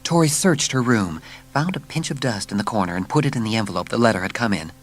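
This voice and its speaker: A man narrating a children's story as scripted audiobook, with measured, dramatic storytelling. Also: lowish voice, animated.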